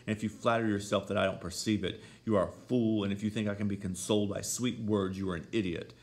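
A man's voice: sits low, fairly quick, vocal fry. A man voicing a brooding, vengeful villain in a story, growling, bitter and defiant.

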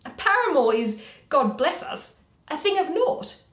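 A woman as doleful young Shakespearean workman, animated. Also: high voice, unhurried, pitched above the performer's own voice, full character voice. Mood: earnest, doleful. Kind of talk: Shakespeare read aloud as solo performance. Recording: roomy home recording.

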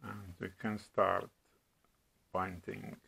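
A middle-aged man from the Czech Republic with a Czech accent, demonstrating products at home in a slow, halting way with many hesitations. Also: low-pitched, flat delivery, vocal fry, bright, forceful tone, breathy edge. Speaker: product reviewer. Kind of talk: casual product demo. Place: home room at a table.

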